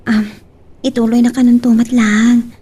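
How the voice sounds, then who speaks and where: An actress playing a sad, hurt young daughter in a radio drama, with a soft husky voice. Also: female voice, on the high side, little pitch movement, moderate pace, distant mic, natural voice. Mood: subdued.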